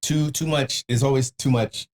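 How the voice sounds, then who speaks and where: A man's voice: medium pitch, steady tone, moderate pace, a little mellow. A man hosting a podcast in casual banter, talking informally with slang and swearing. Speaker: podcast host.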